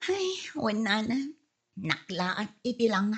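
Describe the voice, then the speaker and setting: A woman's voice: medium pitch, sing-song, slow, slightly rough, exaggerated voice. A woman playing a lovelorn young woman in an Ilocano comedy radio drama, with plaintive, exaggerated delivery and an Ilocano accent. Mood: regretful. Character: lovelorn young woman hoping to marry her online sweetheart.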